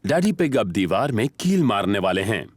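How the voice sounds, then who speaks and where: A man narrating a children's cartoon in a measured, expressive storytelling way. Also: fairly low pitch, no room sound, clean studio sound.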